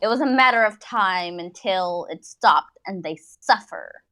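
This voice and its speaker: A woman narrating fan fiction in a mock-dramatic style, with theatrical, drawn-out phrasing and dry asides. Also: mid-range voice, lively intonation, pushed and bright. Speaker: narrator of a comic dramatic reading.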